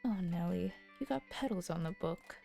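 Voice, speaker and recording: A clever young boy who speaks gently and politely. His voice sits a little lower and warm. Faint music plays behind him. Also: animated, moderate pace, creaky. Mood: gentle. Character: clever bookish boy, gentle older brother.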